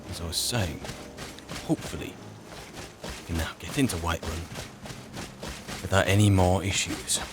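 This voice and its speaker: A man improvising in-character roleplay in a fantasy game, musing aloud in a halting way with pauses. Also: low-pitched, background noise. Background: game music.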